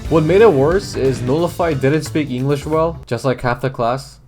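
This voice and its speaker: A man's voice: a little high, normal speed, a little mellow. Young guy w/ telling funny school stories, kinda edgy and sarcastic, music playing. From Georgia (country). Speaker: YouTuber. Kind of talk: comedic storytelling narration. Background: background music.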